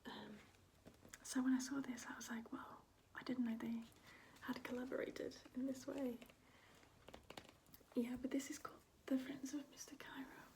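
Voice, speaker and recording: A woman whispering in a breathy, unvoiced voice, chatting casually and hesitantly in an ASMR style as a video host. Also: sits high, steady tone, moderate pace, dark tone. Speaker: video host showing a record collection.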